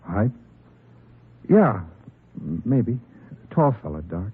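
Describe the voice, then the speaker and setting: An actor playing a nervous, evasive drug addict under questioning in an old radio police drama, stammering and repeating questions back. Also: male voice, on the low side, sing-song, slow pace, breathy edge, full character voice. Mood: defensive, rattled. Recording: muffled old-time radio recording.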